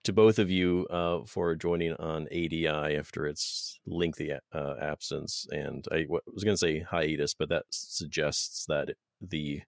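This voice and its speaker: A man's voice: very deep voice, fairly even intonation, a little slow, vocal fry, hardly any pauses, dry recording, clean studio sound. A man hosting an interview podcast in a friendly, wry way, laughing easily as he talks. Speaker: podcast host.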